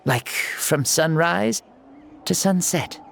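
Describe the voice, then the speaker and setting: A man's voice: a little high, takes it slowly, gravelly, fairly bright tone, a little hiss or hum, full character voice. An actor playing a jovial, grandiose ancient warrior god reborn in a boy's body, talkative and playful, for a video game. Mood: cheerful. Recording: music under the voice.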